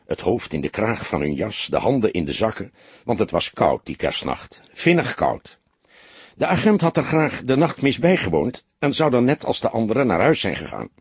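A man the reading a crime story as an audiobook, in a measured, expressive storytelling manner. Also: on the low side, slightly rough, no echo. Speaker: audiobook narrator. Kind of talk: scripted literary narration of a crime story.

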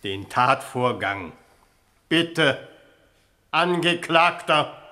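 An actor playing a pedantic, fussy public prosecutor, formal and insistent, in a satirical radio drama. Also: male voice, on the high side, expressive, drawn-out words, bright, forceful tone, full character voice.

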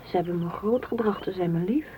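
A woman's voice: fairly low pitch, brisk, warm tone, natural voice. A woman playing a withdrawn, sad lover in a radio play, subdued and introspective. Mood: guarded. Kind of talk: Dutch radio play acting. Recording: faint noise of old radio recording.

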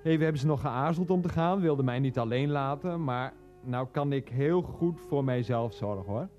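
An actor playing a hapless, earnest middle-aged husband and son in a radio play, hesitant, explanatory and easily defensive. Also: male voice, mid-range voice, normal speed, a little mellow, dull audio, natural voice. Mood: self-assured. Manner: hesitant, explanatory, petulant with his mother. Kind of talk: radio play acting, monologue and phone dialogue.

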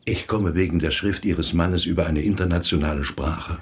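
Low, husky, condescending priest. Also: male voice, moderate pace. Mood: polite, persuasive. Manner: formal, persuasive, patronizing. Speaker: actor in a radio play. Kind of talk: German radio drama acting.

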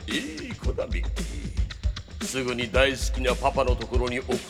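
A villainous middle-aged boss with a lively, swinging delivery. He is smug and mock-polite, and easily flustered. It is an audio drama with clear music underneath. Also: mid-range voice, a little fast. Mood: coldly mock-polite. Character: pompous villainous middle-aged male crime boss and film producer. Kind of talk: anime audio drama acting.

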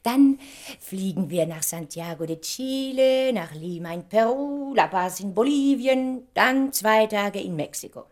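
A woman's voice: mid-range voice, expressive, a little slow, few pauses. A woman acting an effusive, ambitious stage actress who is dreamily in love, in a theatrical German radio drama style. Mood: bright planning. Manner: theatrical, dreamy, swinging from breathy murmurs to exclamations.

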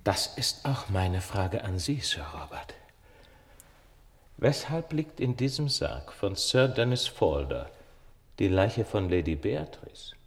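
A man acting in a radio drama as a shrewd, composed detective, speaking in a measured, polite, probing and drily ironic way. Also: on the low side, very animated, heavily acted. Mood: formal, probing. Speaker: actor in a radio play.

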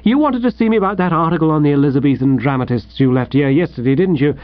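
A man voicing a jovial, gushing, patronising young English gentleman editor for an audiobook. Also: fairly high pitch, lively intonation, fast talker, dry recording, muffled recording, natural voice. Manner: gushing, breezy, chummy. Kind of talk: audiobook character voice.

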